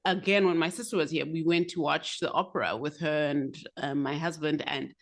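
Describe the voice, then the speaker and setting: A woman from Zimbabwe, co-hosting a podcast and talking frankly in a relaxed conversational way. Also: deep voice, moderate pace. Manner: frank, conversational, lightly laughing asides. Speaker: podcast co-host. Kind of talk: candid casual chat.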